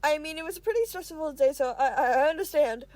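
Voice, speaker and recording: A woman's voice: high voice, expressive, quick pace, a little mellow, dry recording. A woman voicing an anxious, flustered young male student who rambles in a frantic way, for a story reading. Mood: nervous rambling.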